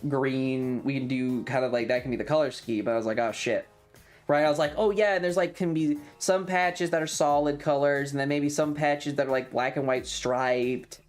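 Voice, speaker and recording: A man chatting casually as a streamer, thinking aloud in an informal, sweary way. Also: medium pitch. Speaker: streamer and video creator. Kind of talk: casual rambling monologue.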